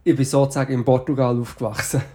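A man with a Swiss German accent, co-hosting a podcast, playful with deadpan jokes. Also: mid-range voice, quick pace. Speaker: podcast co-host. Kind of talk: casual joking chat.

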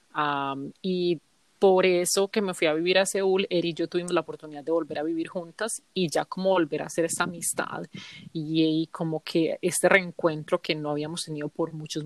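A woman in her thirties speaks with a Colombian accent in a slightly low register. She is co-hosting a podcast, talking in an informal, chatty style, and the recording is dry and close with little room sound. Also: no echo. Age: 30s. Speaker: podcast co-host. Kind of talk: casual conversational podcast intro.

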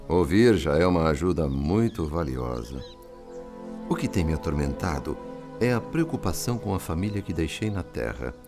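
An actor with a Brazilian accent playing a compassionate, respectful male spirit and worried father, in gentle, formal radio-drama style. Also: a bass voice, very animated, moderate pace, music in the background, exaggerated voice. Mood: troubled, confiding. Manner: gentle, formal, earnest, considerate.

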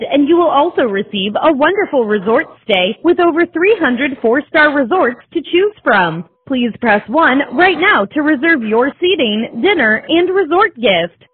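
A woman's voice: high voice, breathy edge. A woman reading a scripted promotional telemarketing message over the telephone. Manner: promotional, announcer-like. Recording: narrow, tinny telephone line.

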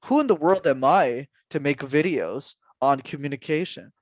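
A young man in his twenties speaks as a guest on a podcast, pressing his points with rhetorical questions and repeated emphasis. His voice sits a little high for a man. The sound is dry and close, with no room around it. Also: expressive, normal speed, no echo. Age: 20s. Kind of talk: interview answers, motivational.

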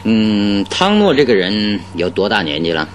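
Analytical detective, radio play, good-humoured, authoritative, fairly low pitch, very expressive melody. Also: male voice, normal speed, muffled recording, a little hiss or hum. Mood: inquiring, brisk. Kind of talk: Mandarin radio drama acting.

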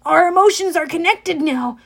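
A woman's voice: extremely high pitch, normal speed, pitched above the performer's own voice. A woman voicing a nervous, frightened young male satyr in an audiobook, pleading and anxious. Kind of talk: audiobook character voice.

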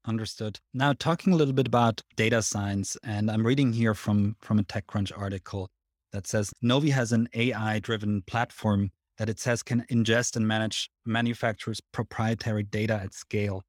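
A man from Switzerland with a Swiss accent, hosting an interview and asking polite, measured questions. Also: lowish voice, fairly even intonation, dry recording, clean studio sound. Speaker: podcast host. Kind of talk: interviewing a guest.

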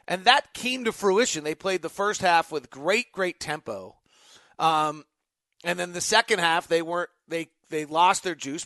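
A man's voice: high voice, sharp tone, no room sound. An American man hosting live sports commentary, with an emphatic, conversational announcer's delivery. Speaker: sports podcast host; radio play-by-play announcer.

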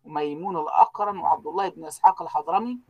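A man giving an online university lecture, trailing off so students fill in the words, with frequent drawn-out fillers. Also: sits high, dull audio, crowd noise. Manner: keeps quizzing students with unfinished sentences, many drawn-out fillers.

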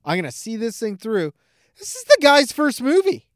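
Animated, sweary podcast co-host. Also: mid-range voice, moderate pace, no echo, clean studio sound. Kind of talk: casual film review chat.

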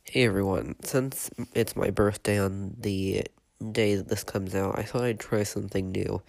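A man hosting a podcast, stuffy from a cold, reading a written horror report in a steady, matter-of-fact way with a few hesitations. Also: lowish voice, moderate pace, creaky. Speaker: podcast host and narrator.

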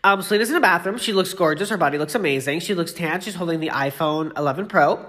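A man's voice: on the high side, quick pace, fairly bright tone. A man hosting a casual solo pop culture podcast, gushing and effusive, rambling off on asides and sounding congested from allergies.

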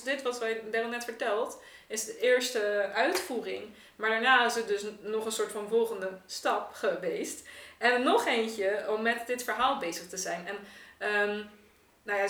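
A woman's voice: a little high, fairly bright tone. A woman in casual, reflective conversation, hesitantly searching for her words and restarting phrases. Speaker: artist, podcast participant. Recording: echoey room, distant from mic.

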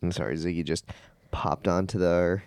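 An American man with a low, resonant voice banters casually as a podcast co-host. He sounds laid-back and joking, though a bit under the weather. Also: fairly even intonation, moderate pace, gravelly, a little mellow, dry recording, studio quality. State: mildly sick with a cold-like illness.

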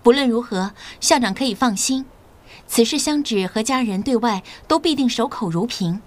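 A woman's voice: on the high side, lively intonation, moderate pace, close to an everyday voice. An actress playing an earnest young female schoolteacher in an audio drama, polite, careful and formal in the way she speaks. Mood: respectful resolve. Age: young adult.

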